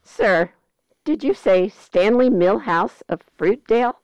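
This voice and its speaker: A woman's voice: medium pitch, animated, slow pace, a little mellow, dry recording. A woman playing a formal, matter-of-fact emergency phone operator in a comic radio play. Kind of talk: comic radio theater acting. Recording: muffled, band-limited dry sound.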